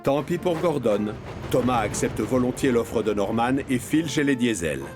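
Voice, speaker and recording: A man's voice: medium pitch, animated, moderate pace, over music. A man narrating a scripted children's story.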